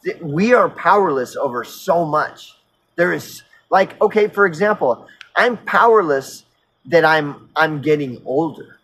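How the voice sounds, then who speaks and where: A man of fifty on an unscripted personal rant, earnest and insistent, halting and repeating himself as he circles back mid-sentence, casually profane. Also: a little high, animated, a bit bright, husky, rough recording. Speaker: recovery vlogger.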